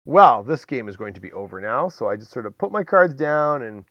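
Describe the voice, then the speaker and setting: A man's voice: medium pitch, very animated, a little fast, no room sound, dull audio. A man hosting a podcast, telling stories in a casual, chatty way. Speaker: podcast host. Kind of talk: casual storytelling chat.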